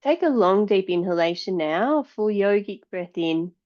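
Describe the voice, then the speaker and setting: A woman yoga teacher giving guided meditation and yoga instruction in a calm, measured, soothing way. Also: medium pitch, dull audio.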